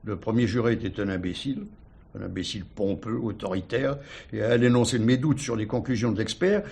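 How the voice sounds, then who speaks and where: A man's voice: fairly low pitch, fairly quick, creaky, a little mellow, slightly rough. An actor playing an older retired judge, formal and reflective, hesitating as he recounts his doubts in a radio play. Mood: troubled, insistent. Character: thoughtful retired judge uncle haunted by doubt.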